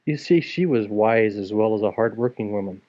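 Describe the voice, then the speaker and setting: A man's voice: fairly low pitch, normal speed, mellow. Man reading a storybook, muffled lo-fi. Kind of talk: expressive read-aloud storytelling with casual asides. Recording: dull lo-fi home recording.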